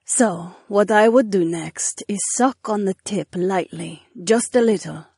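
A man's voice: sits high, a little slow, vocal fry, darkish tone, slightly rough, no room sound, studio quality, pitched above the performer's own voice, exaggerated voice. Playful sly young woman, giggly, raised airy voice; man in the role. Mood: encouraging.

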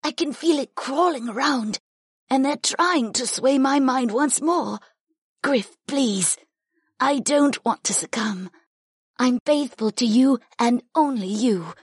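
A performer voicing a tormented female monster goddess in an audiobook, pleading urgently and devotedly in a husky, breathy woman's voice. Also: high-pitched, slow, dry recording, studio quality, voice pushed up, exaggerated voice. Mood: fearful, pleading. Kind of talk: audiobook character voice.

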